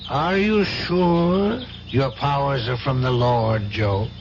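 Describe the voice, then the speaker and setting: An actor playing a grave, gently probing priest in an old-time radio drama, measured and solemn. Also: male voice, medium pitch, close to an everyday voice. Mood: grave doubt. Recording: dull, noisy old radio recording.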